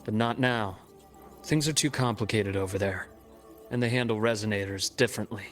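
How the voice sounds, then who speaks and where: A man voicing a composed, analytical investigator in a game, speaking in a measured, explanatory way. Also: on the low side, soft background music, lightly acted. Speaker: game character. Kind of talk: game cutscene voice acting.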